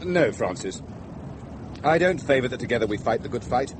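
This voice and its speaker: Man acting a mild, hesitant vicar, expressive pitch. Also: background noise, close to an everyday voice. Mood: hesitant, demurring. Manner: hesitant, genteel, measured wording. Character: mild, hesitant, reproving male English vicar. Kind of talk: dramatic dialogue.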